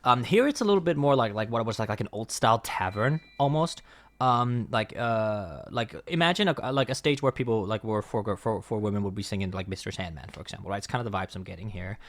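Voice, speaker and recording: A man's voice: on the low side, lively intonation, fast talker, a bit bright. Rambling Danish-speaking man reacting. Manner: rambling, restarts, many fillers. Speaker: reaction video host. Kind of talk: casual music reaction commentary.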